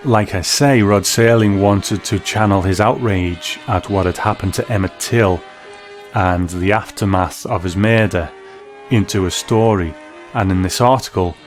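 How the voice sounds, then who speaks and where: Low-pitched male host over faint music. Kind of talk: scripted commentary with quoted readings.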